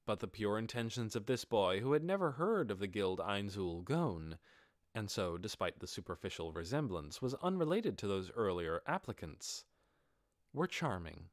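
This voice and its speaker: A man reading a fantasy audiobook as narrator, in an expressive scripted storytelling style. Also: on the low side, no echo, clean studio sound.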